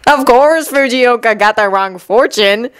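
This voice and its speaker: A woman's voice: fairly high pitch, a little slow, clear, resonant tone, no echo, pitched above the performer's own voice, close to an everyday voice. A woman voicing a mischievous teenage boy troublemaker with a cocky, teasing manner.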